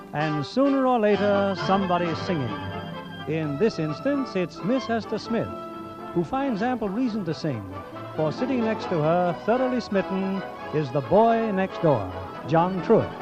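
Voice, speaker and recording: Casting: man, vintage radio theatre host, high and animated, measured storytelling, dull old recording. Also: music in the background. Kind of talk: 1940s scripted radio narration.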